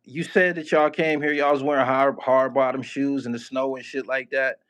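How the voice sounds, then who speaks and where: A man interviewing a guest in casual chat, relaxed but challenging, pushing back on what he hears. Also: mid-range voice, normal speed, clear, resonant tone. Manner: laid-back, challenging, laughs and pushes back.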